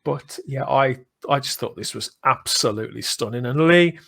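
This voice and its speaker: Man, middle-aged, podcast host, medium pitch, effusive, emphatic, discursive, casual fan talk. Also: moderate pace, creaky, sharp tone.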